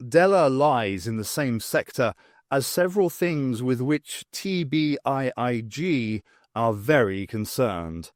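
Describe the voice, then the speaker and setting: Male audiobook narrator, medium pitch, expressive storytelling, dry sound. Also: slow pace, no room sound.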